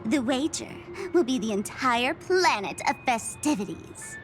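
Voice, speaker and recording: A lively, bright-pitched masked jester, mocking and menacing, game cutscene music behind. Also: female voice, a little slow. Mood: teasing. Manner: theatrical, teasing, laughs at her own jokes. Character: mocking masked female trickster, playful and menacing. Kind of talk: video game dub.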